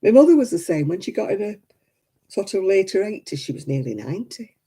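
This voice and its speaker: A woman in her seventies hosting a crafting livestream, chatting homely and warmly with viewers by name and laughing often. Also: medium pitch, big pitch swings, brisk, husky. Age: 70s. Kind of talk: casual chat reading out viewer comments.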